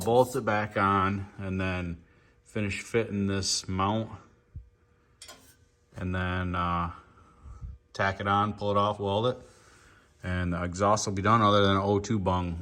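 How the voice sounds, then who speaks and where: A man's voice: on the low side, fairly bright tone. A man giving a casual, plainspoken hands-on walkthrough as a car builder, thinking aloud in an informal way. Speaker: car builder hosting a fabrication video. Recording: noisy handheld shop video, ambient noise.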